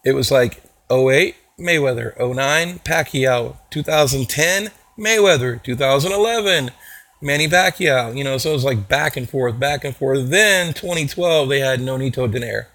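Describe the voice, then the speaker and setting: Hoarse man rambling about boxing. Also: mid-range voice, normal speed, a bit bright. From Texas. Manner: rambling, lots of fillers and restarts. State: hoarse from a cold. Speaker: boxing channel host. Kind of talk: casual talk to camera.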